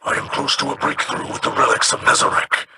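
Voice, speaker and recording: An actor playing a grave, formal alien leader in a video game, speaking carefully and solemnly. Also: male voice, a little fast, heavy background noise, natural voice.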